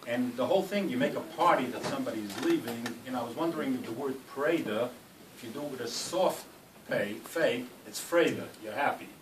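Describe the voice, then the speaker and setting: A man with a cold giving a rambling, self-deprecating farewell speech, full of halting restarts. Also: mid-range voice. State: has a cold. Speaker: speaker at a farewell party. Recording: roomy hall, distant mic, noisy.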